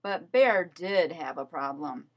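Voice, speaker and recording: A woman reading a children's story aloud as narrator, in an expressive, measured storytelling manner. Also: low-pitched. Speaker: storybook reader.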